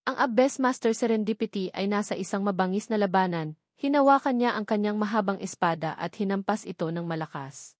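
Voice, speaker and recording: A woman narrates fiction from a script in a formal manner. She delivers it in measured, separate phrases at a middling pitch. The sound is very dry, with next to no room on it. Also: expressive, dry recording. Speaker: audiobook narrator.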